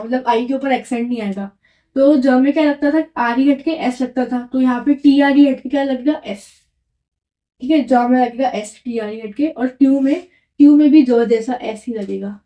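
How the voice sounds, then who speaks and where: A woman's voice: sits high, mellow, low-quality recording. A young woman teaching French grammar, patiently repeating each rule and checking in with "okay" as she writes on a board. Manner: patient, repeats points with frequent "ठीक है" check-ins. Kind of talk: explaining grammar at a whiteboard.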